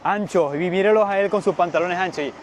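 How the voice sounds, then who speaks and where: A Venezuelan man hosting a casual walking tour outdoors, chatty and quick to laugh. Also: high voice, slight background noise. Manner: chatty, laughs often, echoes the other speaker. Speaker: vlogger host. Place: outdoors on a city street.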